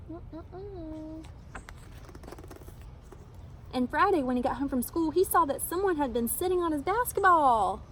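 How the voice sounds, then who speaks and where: A woman reading a children's picture book aloud in an animated, emphatic storytelling manner. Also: high voice, slight background noise, rough recording. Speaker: storybook reader.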